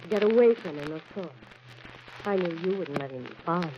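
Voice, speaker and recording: A woman's voice: fairly low pitch, expressive, a little fast, close to an everyday voice. Woman playing coy, evasive actress, vintage radio. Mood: nervous, evasive. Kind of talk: 1940s radio drama acting. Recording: muffled, noisy vintage radio recording.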